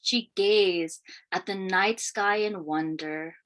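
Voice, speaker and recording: A woman reading a children's picture book aloud in an expressive, deliberate storytelling manner. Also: mid-range voice. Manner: expressive, questioning lifts and deliberate phrasing. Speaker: read-aloud storyteller, teacher.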